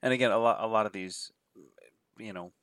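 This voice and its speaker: Man, nasal, has a cold, low voice, dry close sound, rambling casual review. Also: fairly quick, a bit bright, dry recording. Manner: rambling, filler-heavy listing of titles. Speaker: adult film reviewer.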